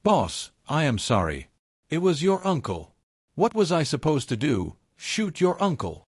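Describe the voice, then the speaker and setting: Shaken male henchman voiced by a male narrator, pleading and defensive, raised animated pitch. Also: a little slow, slightly rough, no echo, clean studio sound, heavily acted. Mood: apologetic, defensive. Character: rattled, apologetic male mafia henchman.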